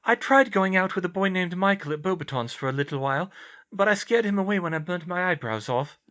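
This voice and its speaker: An earnest, brainy teenage girl from a storybook, acted out by a man. The voice sits high and moves about a lot, with a flustered, babbling eagerness. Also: lively intonation, brisk, a bit bright. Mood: candid, indignant. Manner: stammering, babbling, indignant bursts.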